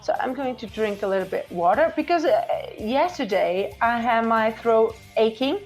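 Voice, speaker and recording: A woman's voice: on the high side, lively intonation, normal speed, a little mellow, soft background music. A Portuguese woman in her forties hosting a podcast, chatting casually with hesitant fillers. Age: 40s. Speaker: podcast host. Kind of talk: casual chat.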